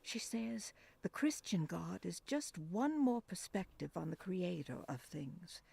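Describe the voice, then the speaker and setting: An actress voicing a devout 1920s woman reading her prayer diary aloud in a hushed, reverent voice that drifts into whispers. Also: on the low side, big pitch swings, a little slow, no echo, exaggerated voice. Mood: hushed prayerful. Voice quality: husky and gravelly. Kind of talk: game voice-over narration.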